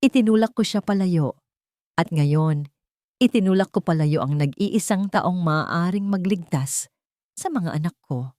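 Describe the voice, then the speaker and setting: Woman voicing a regretful rich father, earnest, low, dry. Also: a little slow, a little mellow, no echo, lightly acted. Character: remorseful wealthy widowed father, determined.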